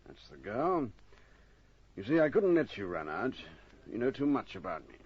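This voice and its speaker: An actor playing a patronizing, menacing gangster with a husky voice in an old radio crime drama. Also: male voice, medium pitch, sing-song, normal speed. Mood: coolly threatening. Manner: patronizing, veiled threats. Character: menacing, smooth-talking male crime boss or henchman. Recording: muffled old radio recording.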